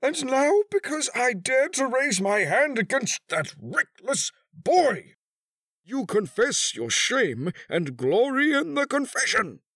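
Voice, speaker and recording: A husky, furious old gentleman, wildly high-strung. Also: sing-song, slow pace, no echo, exaggerated voice. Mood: furious, anguished. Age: 60s. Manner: high-strung, bitter, declamatory. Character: furious, vindictive old English knight and wronged husband. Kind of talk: dramatic audiobook character reading.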